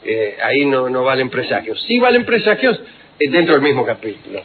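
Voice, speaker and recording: A man hosting radio, telling ironic, digressive stories with quick asides. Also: mid-range voice, sing-song. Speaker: radio host. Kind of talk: humorous radio talk. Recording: lo-fi phone-like archive radio with noise.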